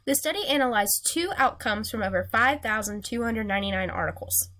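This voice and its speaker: Young female student, congested and nasal, slightly high voice, earnest read-through of a class presentation. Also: animated, brisk, sharp tone. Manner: earnest, reading from slides. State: recovering from a sinus infection.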